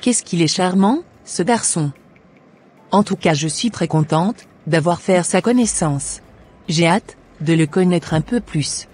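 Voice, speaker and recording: A woman's voice: on the low side, lively intonation, measured pace, a little mellow, husky. A woman voicing a polite, cheerful young woman, an obedient daughter, in a scripted cartoon dub. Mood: pleased, polite.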